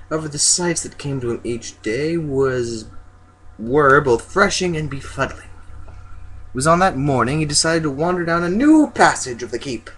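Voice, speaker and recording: A man's voice: a little high, a bit bright, slight background noise, low-quality recording. A man doing an amateur dramatic story reading in a goofy, theatrical manner. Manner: goofy, theatrical, breaks off into joking asides. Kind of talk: dramatic fan fiction reading.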